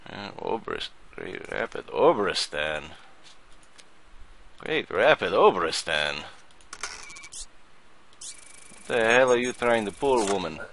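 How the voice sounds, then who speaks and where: A man's voice: low voice, takes it slowly, gravelly, bright, forceful tone, a little hiss or hum, full character voice. A man putting on a grumpy crude border inspector. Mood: gruff grumbling. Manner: broken English, curt and crude. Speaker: gamer playing a border inspector. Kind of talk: let's play commentary in character.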